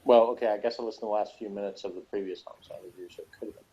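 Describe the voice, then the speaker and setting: A man's voice: fairly low pitch, fairly even intonation, very fast, mellow, a little hiss or hum. A man chatting casually as a podcast reviewer, with a dry, teasing manner. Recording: dull lo-fi voice recording.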